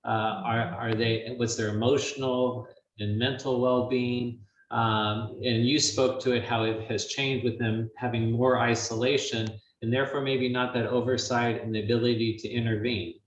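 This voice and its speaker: A man's voice: medium pitch, little pitch movement, far from the mic. Reflective man on a roomy, muffled mic. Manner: reflective, halting, many "uh"s. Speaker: webinar host. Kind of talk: webinar conversation. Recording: dull, roomy sound from off-mic, faint hiss.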